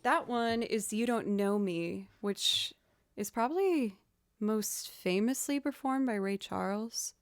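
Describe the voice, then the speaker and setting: Relaxed young woman chatting on stream. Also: takes it slowly, dry recording, studio quality. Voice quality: lower voice. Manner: chatty, self-deprecating, laughs often. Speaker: streamer and musician. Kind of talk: casual live-stream chat.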